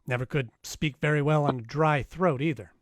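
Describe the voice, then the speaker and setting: A man's voice: a little high, moderate pace, no room sound, studio quality, close to an everyday voice. A man playing a wisecracking, dryly sardonic private eye in an old-time radio detective play. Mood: dry, bargaining. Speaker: actor in live radio play, also narrating.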